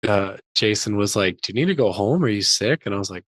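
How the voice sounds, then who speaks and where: A man co-hosting a podcast in casual chat, his voice hoarse and gravelly and cracking after an illness, rambling with many fillers. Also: on the low side, animated, fast, fairly bright tone, dry recording, clean studio sound. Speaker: podcast co-host.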